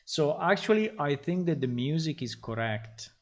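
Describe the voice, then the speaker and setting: A man, a game developer, talking casually on a live stream as he works, thinking aloud and halting often with fillers. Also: a little high, expressive. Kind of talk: casual live-stream talk while working.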